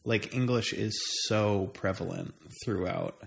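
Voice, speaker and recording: Low, creaky-voiced American man chatting. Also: unhurried. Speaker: conversation partner swapping travel stories. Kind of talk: casual chat.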